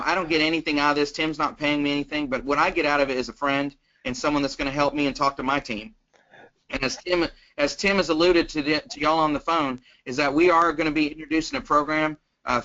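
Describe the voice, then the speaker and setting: Male guest sales trainer, down-to-earth and folksy, very bright edge, casual delivery, lo-fi call sound. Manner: plain-spoken, folksy, acts out sample pitches. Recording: dull, lo-fi conference call line.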